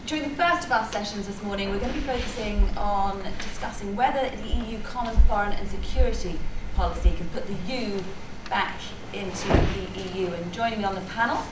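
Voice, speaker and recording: A woman moderating a panel conference, articulate and brisk with light asides. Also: mid-range voice. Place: large conference hall. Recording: echoey hall, distant mic, noisy.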